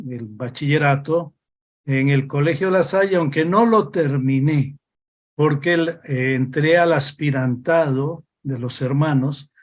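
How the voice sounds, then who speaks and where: Slow-speaking older Costa Rican man. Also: medium pitch. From Costa Rica. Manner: slow, reflective, hesitant with pauses. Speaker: guest, religious brother. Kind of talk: interview over video call.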